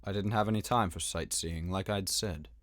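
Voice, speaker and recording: Low-voiced earnest young knight, played by a man. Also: fairly even intonation, normal speed, no echo, studio quality, lightly acted. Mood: apologetic. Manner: serious, formal, slightly stiff. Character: earnest, dutiful young male knight, gallant and kindly. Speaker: fan dub voice actor. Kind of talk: acted game dialogue.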